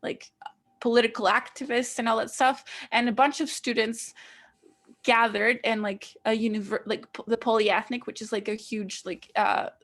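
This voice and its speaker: A woman's voice: a little high, fairly even intonation, normal speed, a bit bright. A woman with a Greek accent co-hosting a casual podcast over a video call, explaining history with plenty of fillers and restarts. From Greece. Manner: rambling, many fillers and restarts while explaining. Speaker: podcast co-host.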